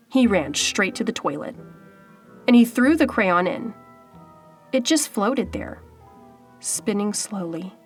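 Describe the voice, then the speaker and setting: A woman narrating a children's storybook aloud in a dramatic, suspenseful way with deliberate pauses. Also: mid-range voice. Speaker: storybook reader. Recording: faint background music. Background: faint music.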